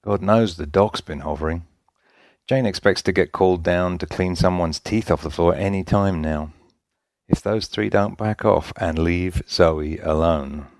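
A man's voice: sits low, measured pace, a little mellow, no room sound, studio quality. A man narrating a scripted story written in a folksy vernacular, as an audiobook-style reader.

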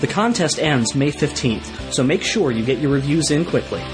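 A man's voice: on the high side, animated, moderate pace. A man delivering a scripted podcast advertisement as a promo announcer. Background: guitar music.

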